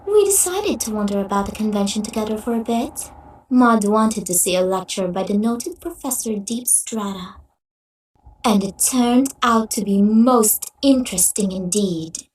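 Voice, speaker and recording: A woman's voice: medium pitch, unhurried, a little mellow, husky, rough recording. A woman voicing a refined young female cartoon pony fashionista, gushing and theatrical in a comic dub. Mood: narrating brightly. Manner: posh, gushing, melodramatic.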